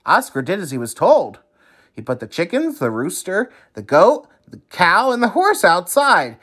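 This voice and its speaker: A man reading a children's story aloud in an expressive, emphatic teacher's manner. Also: high-pitched, moderate pace.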